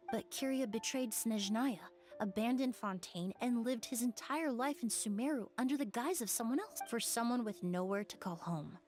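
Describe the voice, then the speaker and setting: An actress voicing a composed, thoughtful young woman in a video game, formal and measured. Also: on the high side, a little mellow, lightly acted.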